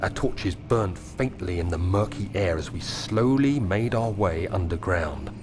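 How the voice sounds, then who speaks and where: A man voicing a 19th-century explorer, narrating diary entries in a measured, formal, grave way. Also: sits low, expressive, background noise. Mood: uneasy. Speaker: game narrator.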